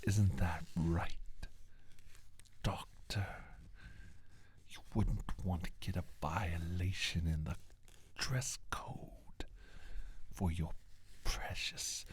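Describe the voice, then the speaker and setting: Alien reptilian scientist played by a man: low, very slow, husky half-whisper, condescending menace for an ASMR roleplay. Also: steady tone, heavily acted. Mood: mocking. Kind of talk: soft-spoken ASMR roleplay monologue.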